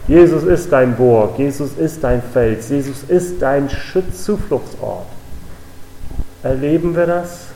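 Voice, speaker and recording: A man's voice: mid-range voice, slow, mellow, a little hiss or hum. A man from the United States preaching an earnest, emphatic sermon in a church, with repeated declarations. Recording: echoey hall, voice a bit distant.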